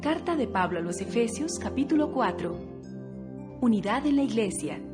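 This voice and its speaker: A woman's voice: on the high side, animated, normal speed. A woman reading out titles as scripted narration for an audio Bible. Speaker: chapter and section announcer. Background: prominent new-age music.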